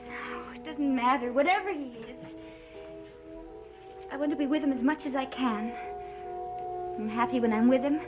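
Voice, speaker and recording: Defiant lovestruck young woman acted by a woman, very high voice, emotional gothic melodrama, music behind. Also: normal speed, pauses often, close to an everyday voice. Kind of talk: gothic soap opera acting.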